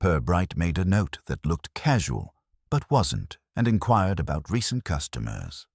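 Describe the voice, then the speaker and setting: Male narrator, very deep and dry-recorded, for measured, wry storybook mystery narration. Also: big pitch swings, creaky, a little mellow, husky, dry recording. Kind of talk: scripted narration of a cosy British mystery story.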